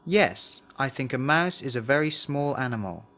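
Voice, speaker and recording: A man's voice: medium pitch, animated, normal speed, sharp tone. A man reading a scripted language lesson, carefully enunciating model words and sentences. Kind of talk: scripted language drill, read aloud.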